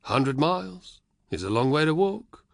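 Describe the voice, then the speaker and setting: Fields: man voicing a curt mocking Roman legionary. Pitch: high. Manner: blunt. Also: sing-song, measured pace, creaky, no room sound, studio quality, exaggerated voice.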